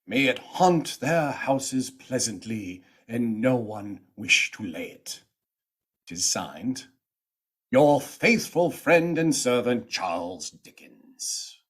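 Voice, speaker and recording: A man narrating a radio play as a theatrical storyteller, with a husky voice and a dramatic, relishing delivery. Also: mid-range voice, big pitch swings, slow pace, a bit bright, full character voice. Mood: solemn, inviting.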